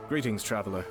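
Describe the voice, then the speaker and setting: An actor playing a courteous, composed young nobleman in a video game, speaking in a formal, measured, eloquent manner. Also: medium pitch, heavily acted. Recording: game music behind the voice.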